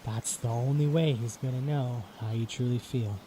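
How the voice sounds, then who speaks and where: A man playing a gentle young knight who talks softly and soothingly in a fan-made audio drama. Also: fairly even intonation, moderate pace, slight background noise, lightly acted. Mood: reassuring. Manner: soft, soothing, low-spoken.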